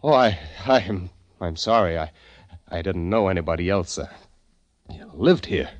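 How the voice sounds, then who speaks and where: A man's voice: deep voice, lively intonation, a little slow, no echo, lo-fi sound. A man playing a nervous, reclusive poet narrating his diary in an old radio drama, intense and hesitant. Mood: flustered. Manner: hesitant, breathless intensity, stammering. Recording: vintage radio drama with music behind.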